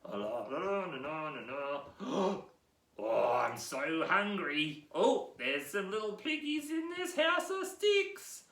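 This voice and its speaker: A woman's voice: fairly low pitch, big pitch swings, takes it slowly, a bit bright, full character voice. A woman doing a hungry, huffing big bad wolf. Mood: hungry playful. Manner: playful, huffing and moaning theatrically. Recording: roomy echoing room.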